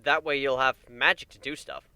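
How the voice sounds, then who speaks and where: A man reads as a good-humoured male pony husband in a cartoon fan story. His voice is bright and clear at a middle pitch, in a close, dry recording. He speaks casually, with caring, wry teasing. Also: fairly even intonation, normal speed, dry recording. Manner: casual, gently wry, hesitant in places.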